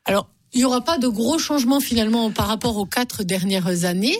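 A woman hosting a local radio magazine interview, chatty and quick to laugh, with lots of fillers. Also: on the high side.

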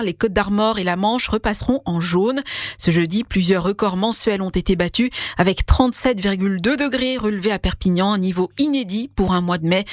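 A woman reading a radio news bulletin in a crisp, steady way into a close studio microphone. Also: medium pitch, no echo. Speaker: newsreader.

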